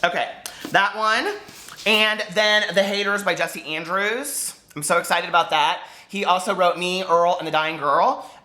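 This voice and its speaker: A man chatting casually to camera as a book vlogger, gushing and animated, wandering off on tangents with lots of filler words. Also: sits high, a little slow. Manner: gushing, digressive, peppered with fillers.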